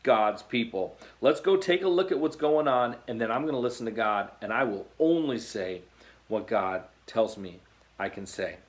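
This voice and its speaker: A man's voice: medium pitch, expressive, normal speed, pitched below the performer's own voice, lightly acted. Guy reading as a stubborn old testament prophet man, blunt, bible story. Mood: firm. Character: angry, stubborn male prophet on a journey.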